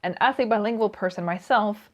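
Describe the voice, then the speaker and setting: A woman's voice: medium pitch, a little fast. A young Swedish woman vlogging casually about writing, speaking English with a Swedish accent in a chatty, self-deprecating way. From Sweden. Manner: chatty, self-deprecating, tends to restart and repeat words. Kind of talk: casual talking-head vlog.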